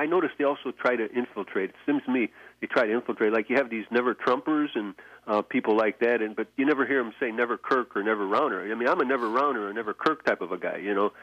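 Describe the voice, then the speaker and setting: A male caller rattling off his point quickly, rambling and padding it with fillers. His voice comes through a muffled, dull phone line with a dry sound. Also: medium pitch, no room sound. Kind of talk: call-in talk radio. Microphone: telephone.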